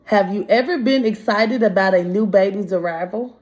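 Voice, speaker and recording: A woman's voice: medium pitch, moderate pace, a crowd in the background. A woman teacher reading a picture story aloud to children and prompting them with simple comprehension questions in informal speech. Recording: echoey room.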